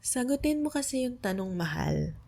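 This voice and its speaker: A young woman with a Filipino accent playing an affectionate, playful girlfriend in a soft ASMR roleplay, patiently tutoring her partner. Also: on the high side, big pitch swings, creaky, full character voice. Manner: teasing, tender, patient, explains words slowly. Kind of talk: soft ASMR roleplay, Tagalog lesson mixed with English.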